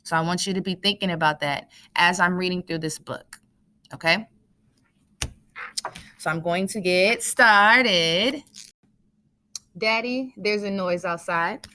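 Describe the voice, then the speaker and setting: A woman teacher reading a picture book aloud to students in a deliberate, instructional storytelling way. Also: mid-range voice, pushed and bright.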